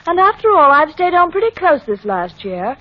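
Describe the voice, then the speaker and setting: A woman's voice: high-pitched, very animated, moderate pace. An actress playing a restless, self-centered wife and reluctant mother in an old-time radio drama. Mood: peevish, defensive. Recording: muffled old radio recording.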